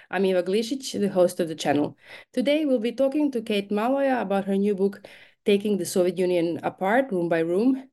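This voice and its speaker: A woman hosting a podcast interview, friendly and quick to laugh, with some fillers. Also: mid-range voice, dark tone. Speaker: podcast host. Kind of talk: interviewing a guest.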